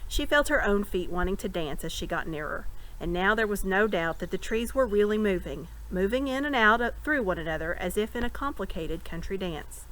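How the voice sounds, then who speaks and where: A woman reading a children's fantasy novel aloud as an expressive storyteller. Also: medium pitch, fairly quick, rough recording. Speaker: storybook reader. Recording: clear background noise.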